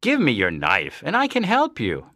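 A man's voice: mid-range voice, huge pitch swings, moderate pace, sharp tone, no room sound, clean studio sound, exaggerated voice. Swooping, teasing male science teacher played by an actor. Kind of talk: dramatized audiobook dialogue.

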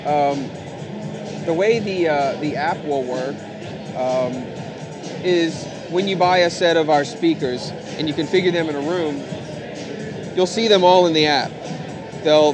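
Higher-voiced man giving a product demo. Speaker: company product representative. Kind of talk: informal product demonstration. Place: large indoor trade show hall, at a booth. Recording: background music and trade show floor bustle.

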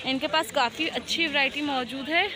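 High-voiced woman touring a crowded market. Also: moderate pace. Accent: Pakistani Urdu. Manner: chatty, asks sellers prices. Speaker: vlogger. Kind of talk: market tour vlog. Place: busy outdoor street market. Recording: crowded outdoor market noise. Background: crowd chatter and traffic.

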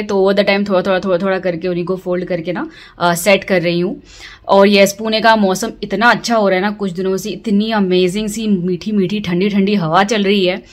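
A woman in her thirties with an Indian accent, giving a casual, conversational cooking voice-over with English words mixed in. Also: medium pitch. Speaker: home cooking vlogger and host. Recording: voice-over with clear sizzling kitchen noise.

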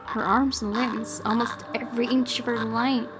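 Woman narrating a game over clear music. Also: a little high, fairly even intonation, normal speed. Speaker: let's player reading a game aloud. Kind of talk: reading horror visual novel narration aloud with casual reactions.

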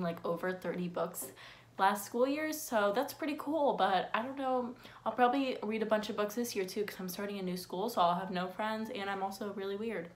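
A teenage girl talks straight into her phone camera from a small bedroom, her voice close and unpolished. She rambles from one thought to the next, leaning on fillers and dry self-deprecating jokes. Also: medium pitch. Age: teens. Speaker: vlogger. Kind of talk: casual facts vlog. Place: bedroom with window blinds, indoors. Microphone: phone camera at close range.

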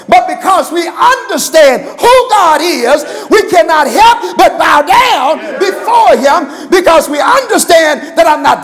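High-pitched, gravelly older preacher man. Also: a bit bright. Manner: impassioned, emphatic, with repeated calls to the congregation. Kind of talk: sermon. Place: large church sanctuary, at a pulpit. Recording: church hall with long reverb.

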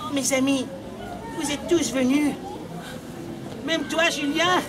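Man voicing a slow, high-pitched tragic bug hero. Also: fairly even intonation, noisy background. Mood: mournful, solemn. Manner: slow, grandly tragic delivery. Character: solemn little bug puppet hero on a quest. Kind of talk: live street puppet show. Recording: outdoor street recording, distant, crowd around.

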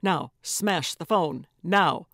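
Casting: controlled, secretive man played by a woman narrator, clipped and brisk, lowered a little, audiobook thriller orders. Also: no echo, studio quality. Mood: urgent, commanding. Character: tightly controlled, commanding, secretive man giving urgent orders. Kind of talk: audiobook character voice.

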